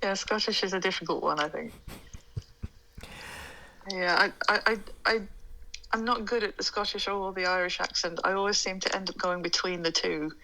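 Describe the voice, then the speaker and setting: Bright, gravelly-edged British woman. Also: mid-range voice, fairly even intonation, normal speed, pauses often, poor audio. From United Kingdom. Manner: hesitant, repeats words as she starts. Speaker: podcast guest, ASMR creator. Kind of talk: casual interview chat.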